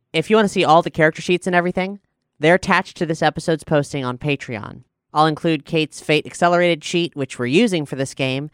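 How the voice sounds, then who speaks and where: Woman podcast host chatting casually, medium-low voice, really dry recording. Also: clean tone, no echo. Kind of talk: casual chat.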